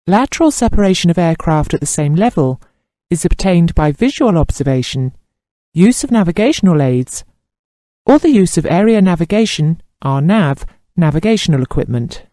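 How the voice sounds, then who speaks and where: A man reading scripted instructional narration as a training narrator, in a formal, measured and precise manner. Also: on the high side, big pitch swings, warm tone, dry recording, studio quality.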